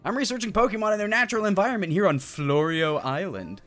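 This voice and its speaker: A man's voice: high voice, expressive, quick pace, fairly bright tone, pitched above the performer's own voice. A man playing a friendly, welcoming male professor, reading video game dialogue aloud in an explanatory way. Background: game music.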